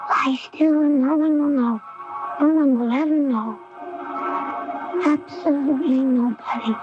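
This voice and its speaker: An actress playing a troubled, frightened young woman with a childlike air, speaking haltingly in a radio drama. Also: high-pitched. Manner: halting, dreamy, hushed delivery. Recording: dull 1980s AM radio recording, music behind. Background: music.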